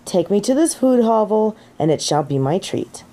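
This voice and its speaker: A woman's voice: mid-range voice, sing-song, normal speed, mellow, close to an everyday voice. A woman playing a snobby, indignant fallen angel in an audio roleplay, with pompous, formal, archaic phrasing and an easily affronted manner. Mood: haughty, curious. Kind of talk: scripted audio roleplay acting.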